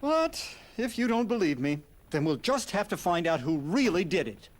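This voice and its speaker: A man's voice: high-pitched, very animated, moderate pace. A man playing an eager, good-natured skeleton showman in a cartoon video game, speaking with grand dramatic expression. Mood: eager, earnest. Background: orchestral game music.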